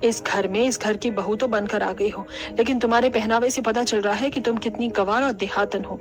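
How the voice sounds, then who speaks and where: A woman's voice: on the high side, steady tone, brisk, lightly acted. A woman voicing a haughty, scornful middle-aged mother-in-law in a cartoon, proud of being fashionable. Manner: scolding, disdainful.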